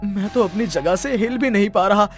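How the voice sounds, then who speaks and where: A man's voice: sits high, normal speed. Guy doing earnest village son in a cartoon story, spooks easy, faint music behind. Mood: frightened, confused.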